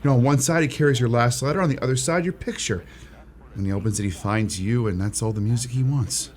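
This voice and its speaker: A man's voice: on the high side, very animated, fairly quick. A man playing a mild, wry, hesitant shop clerk with earnest feeling in a radio play. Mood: eager, persuasive. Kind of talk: old-time radio theatre acting.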